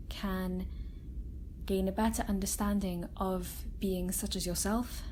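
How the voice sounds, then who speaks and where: A woman playing a kind, gentle scientist doctor in a soft-spoken ASMR roleplay, reassuring a frightened alien patient with careful, halting explanations. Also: medium pitch, a little hiss or hum, natural voice, low-quality recording. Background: sci-fi room ambience.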